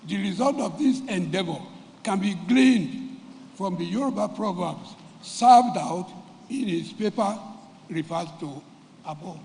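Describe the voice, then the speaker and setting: A Nigerian man giving a formal prepared speech at a symposium, measured and deliberate, losing his voice and clearing his throat. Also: high-pitched, lively intonation, a little mellow, slight background noise. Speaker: symposium speaker. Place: event hall. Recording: reverberant hall, faint ambience.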